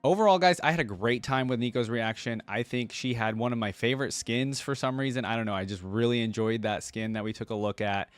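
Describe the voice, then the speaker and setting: A man's voice: mid-range voice, fairly quick, few pauses, clean tone, no room sound, soft background music. A man talking casually to his viewers as a video host, rambling along with informal fan enthusiasm.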